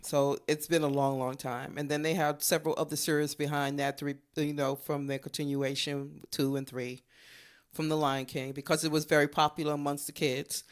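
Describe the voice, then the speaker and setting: A woman's voice: sits low, flat delivery, moderate pace. A woman co-hosting a casual movie review, talking conversationally and repeating her points for emphasis. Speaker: co-host of a movie review show.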